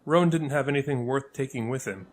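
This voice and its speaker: A man reading scripted fantasy narration as a storyteller, with dramatic, deliberate delivery. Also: medium pitch.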